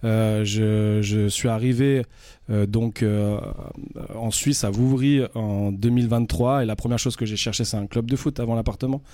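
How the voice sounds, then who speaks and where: A man from France, probably in his thirties, speaks as a guest in a casual radio interview. His voice sits low and warm, and he often stops and restarts while searching for words. The sound is close and dry, with no room around it. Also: steady tone, normal speed, dry recording. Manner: hesitant, many fillers and restarts.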